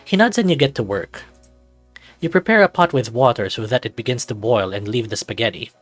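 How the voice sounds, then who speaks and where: A narrator reading a visual novel aloud in an expressive, playful way, with bits of casual commentary. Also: medium pitch, a little fast, no echo. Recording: dry recording with music behind.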